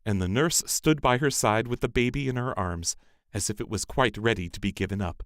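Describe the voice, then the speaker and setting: A man narrating a scripted fairy tale as a storyteller, in an expressive, measured manner. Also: fairly low pitch, dry recording, studio quality.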